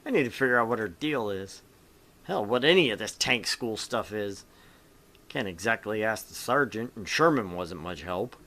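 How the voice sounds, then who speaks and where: A man's voice: fairly low pitch, expressive, moderate pace, bright, forceful tone. A man voicing a bewildered young male student in a visual novel game, muttering in exasperation. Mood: puzzled.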